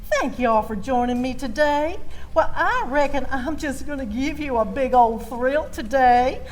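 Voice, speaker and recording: A woman with a Southern US accent and a high voice tells a comic tale as if on stage. Her delivery is folksy and theatrical, and music plays behind her. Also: expressive, measured pace, a little hiss or hum, poor audio. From Georgia, United States. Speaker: storytelling contestant. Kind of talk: comic first-person storytelling on stage.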